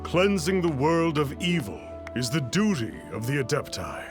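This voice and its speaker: A man's voice: fairly high pitch, sing-song, music in the background. An actor playing a pompous masked man who poses as a lofty immortal and speaks of himself in formal, grandiose terms. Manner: grandiose, refers to himself as "one", slow and formal. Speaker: swindler posing as an immortal.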